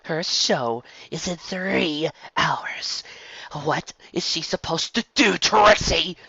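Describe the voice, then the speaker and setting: A man's voice: on the high side, slow, vocal fry, pushed and bright, breathy edge, dry recording, pitched above the performer's own voice, heavily acted. A man voicing a furious, protective female pony in a storybook reading, demanding and exclamatory, on a lo-fi recording. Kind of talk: acted storybook dialogue.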